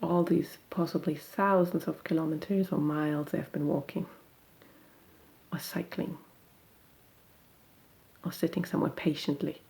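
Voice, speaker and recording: A woman guiding a meditation in a gentle, unhurried, soothing manner, leaving long pauses between phrases. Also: deep voice, steady tone, gravelly. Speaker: meditation guide. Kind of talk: guided meditation.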